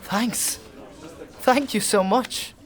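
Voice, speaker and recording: An actor playing an anxious husband in disguise in a dark fantasy audio drama, polite, apologetic and smoothing things over. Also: male voice, sits high, extremely slow. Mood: nervously polite.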